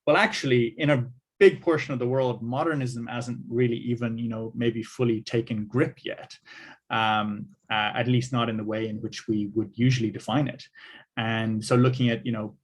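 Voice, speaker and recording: A man's voice: medium pitch, steady tone, normal speed, breathy edge. A man who grew up in Africa, hosting a podcast and talking in a hesitant, searching way with plenty of fillers. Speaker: podcast host. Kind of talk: casual conversation.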